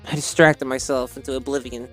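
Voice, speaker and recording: A slightly hoarse man streaming a game, reading its story text aloud and then chatting casually, rambling and correcting himself with many fillers. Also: medium pitch, little pitch movement, fairly quick, soft background music.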